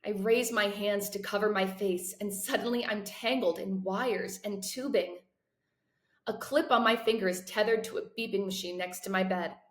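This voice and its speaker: A woman's voice: medium pitch, normal speed. A woman hosting a read-aloud, introducing and narrating a book for students and teachers. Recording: roomy echo.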